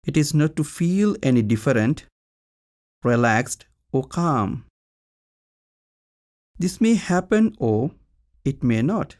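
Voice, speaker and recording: Slow-paced man guiding a meditation. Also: medium pitch. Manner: calm, instructional, with long pauses between short phrases. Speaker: meditation teacher. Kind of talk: guided meditation narration.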